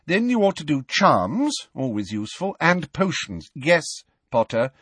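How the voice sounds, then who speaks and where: McGonagall, a man doing a stern crisp professor. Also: a little high, sing-song, measured pace, a bit bright, no echo, voice pushed up, exaggerated voice. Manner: clipped, curt, haughty.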